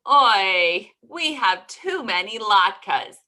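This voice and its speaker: A woman's voice: mid-range voice, sing-song, pushed and bright, full character voice. A woman storyteller voicing a frantic, easily exasperated housewife who exclaims and moans in a folk tale. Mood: groaning. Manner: exclaiming, moaning with a drawn-out "Oi".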